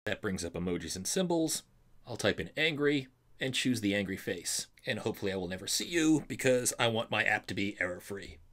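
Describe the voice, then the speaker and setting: A man with a fairly low voice presents a programming screencast as an instructor. He explains each step in order, stresses the important terms and reassures the learner along the way. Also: expressive, fairly quick, fairly bright tone. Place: voice-over for a screen recording.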